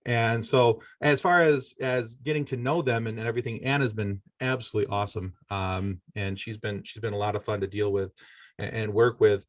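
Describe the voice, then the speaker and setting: A man's voice: lowish voice, steady tone, brisk, no room sound, clean studio sound. A middle-aged American man from Michigan, a podcaster, giving earnest, rambling conversational answers as an interview guest. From Michigan, United States.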